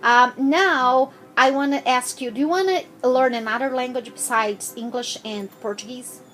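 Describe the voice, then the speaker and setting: A woman interviewing a child, asking gentle, patient questions with frequent hesitations. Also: high voice, moderate pace.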